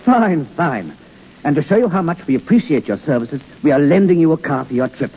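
An actor playing an effusive, fussy, self-pleased company boss in a 1930s radio play. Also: male voice, on the high side, animated, a little fast, husky. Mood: genial. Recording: echoing old radio broadcast, narrow band.

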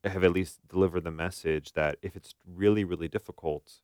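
A man's voice: low-pitched, fairly even intonation, fairly quick, darkish tone, smooth, no echo, clean studio sound. An American man co-hosting a podcast as a therapist, explaining his views casually and acting out bits of imagined dialogue.

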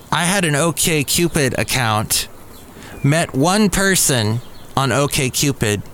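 A man's voice: mid-range voice, fairly bright tone, slight background noise. A middle-aged man hosting a live podcast, telling digressive stories and correcting himself as he goes. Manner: digressive, self-correcting storyteller, chuckles at himself. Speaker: podcast host. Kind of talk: casual rambling monologue. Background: faint café ambience.

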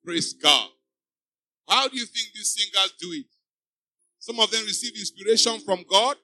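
A man's voice: high voice, lively intonation, moderate pace, sharp tone. A man preaching a sermon to a church congregation. He exhorts the listeners with emphatic, repeating refrains. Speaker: pastor. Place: church before a live congregation.

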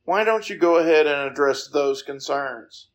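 A man's voice: a little high, animated, normal speed. A man hosting a podcast, introducing a guest and setting up interview questions. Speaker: podcast host.

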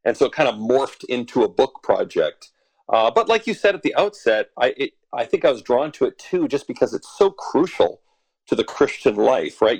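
A man's voice: mid-range voice, vocal fry, darkish tone, no echo, rough recording. A man in his forties from western Canada, an author speaking as a podcast guest, thoughtful with frequent fillers and chuckles. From Alberta, Canada. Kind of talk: podcast interview.

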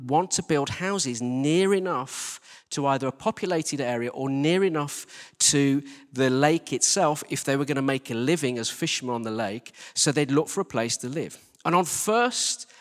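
High-pitched male preacher in a reverberant hall. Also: fairly bright tone. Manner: emphatic, rhetorical questions, repeats phrases for stress. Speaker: church team leader preaching. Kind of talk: conversational sermon. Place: large reverberant indoor church space. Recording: long-reverb church hall sound.

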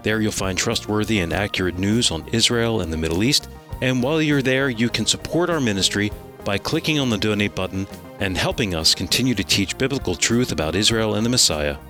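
Bright male radio announcer over music. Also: fairly low pitch, brisk. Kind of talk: scripted program intro and news reading.

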